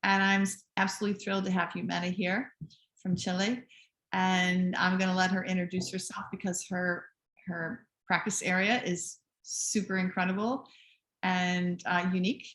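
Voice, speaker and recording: A woman's voice: fairly low pitch, flat delivery, normal speed, fairly bright tone, reverberant, low-quality recording. A woman host introducing the last keynote speaker of the week with enthusiasm. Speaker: event host.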